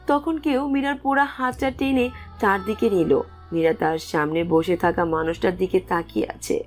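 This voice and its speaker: A woman reading scripted narration for a romantic story as its narrator. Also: medium pitch. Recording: music under the voice.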